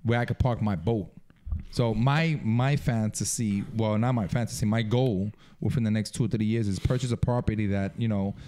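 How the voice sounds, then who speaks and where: A man's voice: fairly low pitch, steady tone, normal speed, mellow, a little hiss or hum. A man who runs his own businesses, speaking as a podcast guest in casual chat, emphatic and peppering his talk with "man" and "dude". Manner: emphatic, says "man" and "dude" and "correct" often.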